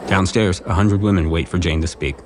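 A low-pitched narrator delivers scripted scene descriptions for an audio drama. There is faint noise behind the voice. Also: brisk.

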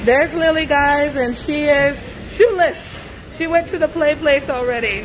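A young woman with a high voice walks a buffet and chats to her camera, held at arm's length. Her talk rambles and is full of fillers. Loud restaurant noise carries behind her. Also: a little slow. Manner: chatty, rambling, many fillers. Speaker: vlogger. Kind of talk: casual vlog walkthrough. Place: busy indoor buffet restaurant. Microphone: handheld camera at arm's length. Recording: noisy restaurant ambience.

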